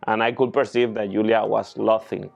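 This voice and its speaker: A man's voice: fairly low pitch, little pitch movement, normal speed, gravelly, muffled recording, soft background music. A young man from Spain, chatting casually in an interview and telling rambling stories with a chuckle. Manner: rambling storyteller, chuckles often. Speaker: interviewee, screenwriting student. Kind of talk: casual chat.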